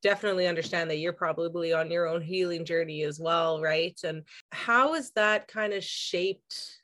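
A woman's voice: on the low side, moderate pace, a bit bright. Young woman interviewer, hesitant and casual. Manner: hesitant, lots of "like".

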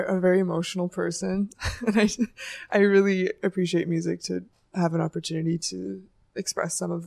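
A young woman musician with a low voice, rambling and laughing a lot as she talks about writing songs. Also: gravelly, darkish tone. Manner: giggly, rambling, many "like" fillers. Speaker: singer and songwriter. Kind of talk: casual interview chat.

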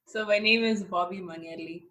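A woman's voice: fairly low pitch, moderate pace, fairly bright tone. A young woman, introducing herself conversationally as a podcast co-host. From Nairobi, Kenya. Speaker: student, guest co-host. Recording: echoey, distant mic, faint noise.

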